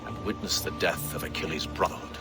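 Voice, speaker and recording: A man's voice: deep voice, a little fast, over loud music. An actor playing a refined, resolute male Templar Grand Master in a video game drama, formal and authoritative.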